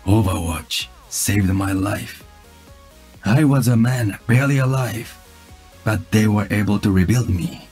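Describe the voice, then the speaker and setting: An actor playing a calm, solemn cyborg ninja in a video game, speaking in a measured, deadpan way. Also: male voice, fairly low pitch, husky. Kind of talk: video game character voice lines. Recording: music behind the voice.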